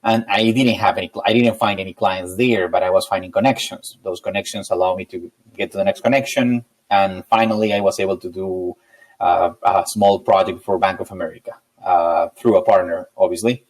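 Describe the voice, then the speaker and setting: Low-voiced Peruvian man being interviewed. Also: steady tone, fairly quick, low-quality recording. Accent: Peruvian Spanish. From Peru. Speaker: interview guest, tech company founder. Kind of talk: conversational interview answers.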